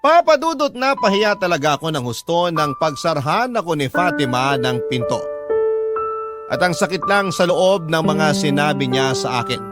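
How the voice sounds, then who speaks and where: A man's voice: a little high, animated, moderate pace, lightly acted. An actor playing a regretful young father narrating his story in a radio drama, with a Filipino accent. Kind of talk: scripted first-person drama narration. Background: loud music.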